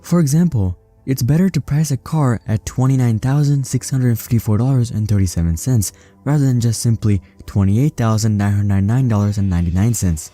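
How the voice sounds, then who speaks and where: A man narrating a scripted explainer in an even, read-out way. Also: mid-range voice.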